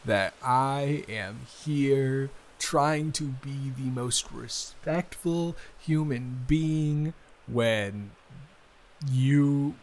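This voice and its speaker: Slow-talking, flustered, smitten young man, acted by a man. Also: mid-range voice. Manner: halting, flustered, with sighs, gasps and laughs. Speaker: audio roleplay performer. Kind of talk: improvised intimate romantic roleplay, conversational.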